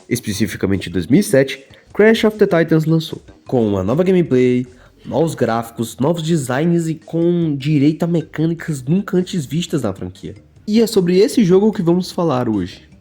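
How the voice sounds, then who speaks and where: A man's voice: a little high, dark tone. A young man with a Brazilian Portuguese accent, narrating a scripted video game review voice-over in a casual, slangy, joking way. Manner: casual and slangy, jokey asides.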